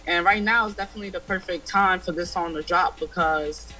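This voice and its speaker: A woman's voice: on the low side, fairly quick, bright, forceful tone, soft background music. A young woman in her twenties, a guest musician talking casually and easygoingly over a video call from a room at home. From United States. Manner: easygoing, laughs often. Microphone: video call webcam.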